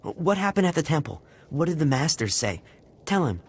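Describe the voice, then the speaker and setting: Halting, earnest young captive villager. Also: male voice, a little high, sing-song, breathy edge, exaggerated voice. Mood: relieved, grateful. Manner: halting, pleading. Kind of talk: video game character acting. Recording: game music behind voice.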